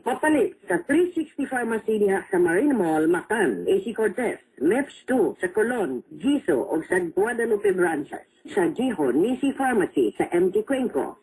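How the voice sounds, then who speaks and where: A woman's voice: mid-range voice, a little mellow, slightly rough, rough recording. A woman with a Filipino accent reading a scripted radio advertisement as the announcer.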